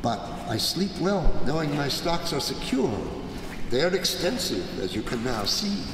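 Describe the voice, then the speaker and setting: An actor playing a scheming nobleman in live gothic radio theater, falsely courteous and sly, with theatrical, deliberate phrasing. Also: on the high side, sing-song. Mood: slyly courteous. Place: large hall before a live audience. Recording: echoey hall, live stage, noisy background.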